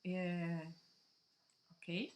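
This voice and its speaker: A woman from Brazil with a Brazilian Portuguese accent, whose first language is Portuguese, walking viewers through a software tutorial in halting English and often checking in with "okay?". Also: fairly low pitch, level tone. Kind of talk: screen-recorded software tutorial.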